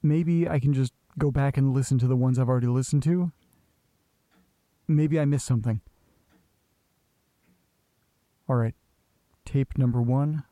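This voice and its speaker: A man's voice: mid-range voice, warm tone, no echo, close to an everyday voice. An actor playing an anxious, self-berating man who mutters hesitantly to himself in a naturalistic audio drama. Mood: resigned.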